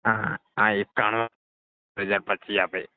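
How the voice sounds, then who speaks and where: A man's voice: on the low side, flat delivery, measured pace, dry recording, natural voice. A man playing a cheeky, evasive garage customer, nonchalantly needling and stalling in a scripted comedy phone call. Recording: dull lo-fi phone call recording.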